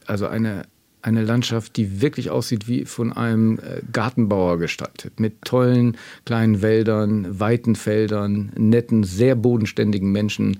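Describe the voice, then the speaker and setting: A man's voice: fairly low pitch, steady tone, moderate pace, mellow. A man from Germany's Münsterland, chatting and reminiscing as an interview guest in a casual, self-deprecating way. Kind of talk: casual storytelling.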